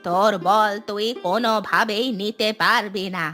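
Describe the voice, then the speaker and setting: A woman's voice: medium pitch, fairly even intonation, unhurried, pushed and bright, soft background music. A woman voicing a stern old village grandmother in a cartoon, scolding and indignant. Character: stern, grumpy old village grandmother guarding her garden. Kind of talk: cartoon voice acting.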